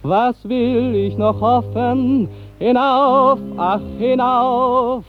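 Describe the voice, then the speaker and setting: A woman's voice: fairly high pitch, steady tone, very slow. A woman performing a yearning youth's ecstatic verse in solemn, lyrical 1950s radio style, partly sung. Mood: rapturous yearning. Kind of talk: slow, lyrical 1950s radio recitation with singing. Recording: narrow-band old radio recording with music.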